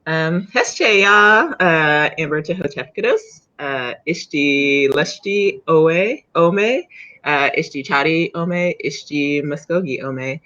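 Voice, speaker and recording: A woman introducing herself on a video call panel in a measured, deliberate way. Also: deep voice, bright, forceful tone. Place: indoors at home, on a video call. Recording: dull lo-fi video call audio.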